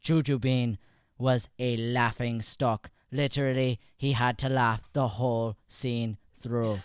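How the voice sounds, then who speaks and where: Slow-paced man with a clear, dry sound. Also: mid-range voice, fairly even intonation, dry recording. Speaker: improv comedy performer. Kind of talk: informal show banter and recap. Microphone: podcast microphone.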